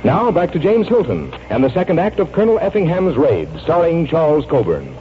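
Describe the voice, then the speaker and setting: Male announcer, 1940s radio commercial, mid-pitched, animated delivery, thin phone-like sound. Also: a little fast, over music. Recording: tinny, noisy 1940s radio recording.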